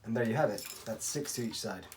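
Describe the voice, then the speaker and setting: A man from Spain giving a casual, rambling hands-on tutorial as a racquet stringer, often clearing his throat. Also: fairly low pitch, fast talker. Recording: clattering workshop tool noise.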